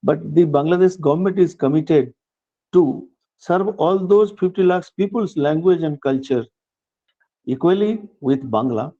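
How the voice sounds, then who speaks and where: An older man with an Indian English accent giving an earnest, measured acceptance speech, often restarting his phrases. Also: a little high, mellow, highs cut off. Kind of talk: formal speech.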